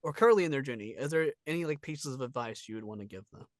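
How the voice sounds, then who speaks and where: A man's voice: mid-range voice, expressive, rapid-fire, dry recording, studio quality. A man with a New Zealand accent hosting a casual interview in an informal, encouraging, jokey way with some swearing. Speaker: interview host.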